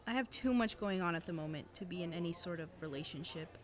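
A woman's voice: lowish voice, fast, lightly acted. A woman acting as an anxious, guarded teenage student in a fiction podcast, awkward and dryly evasive. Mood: apologetic, awkward. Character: anxious teenage student girl who records cassettes, guarded. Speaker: voice actor.

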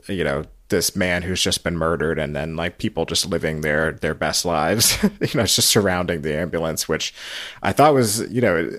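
Deep-voiced man hosting a casual podcast. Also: a bit bright. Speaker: podcast host. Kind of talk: casual recap podcast discussion.

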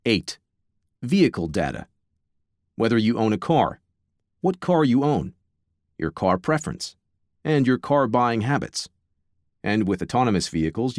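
An American man reading scripted nonfiction as an audiobook narrator, in a measured, clearly enunciated and slightly wry way. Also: lowish voice, no echo, clean studio sound. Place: dry, close studio recording.

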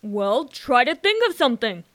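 A woman playing a breezy, generous young upper-class gentleman in a radio comedy, using old-fashioned society slang. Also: fairly high pitch, big pitch swings, normal speed. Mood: confident.

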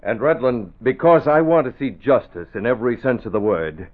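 An actor playing a principled, composed newspaper publisher, firm and formal, in 1940s radio drama style. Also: male voice, mid-range voice, moderate pace, lightly acted. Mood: firm, earnest. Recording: muffled old radio recording.

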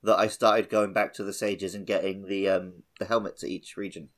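Low-voiced man on a casual podcast. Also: flat delivery, normal speed. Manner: informal, colloquial. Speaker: podcast co-host. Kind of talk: casual gaming podcast chat.

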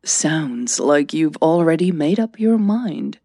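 A woman's voice: low voice, lively intonation, takes it slowly, gravelly, warm tone, dry recording, clean studio sound, exaggerated voice. A woman voicing an enthusiastic, affectionate middle-aged mother who encourages and teases, in an audio drama. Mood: encouraging. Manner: eager, affectionate.

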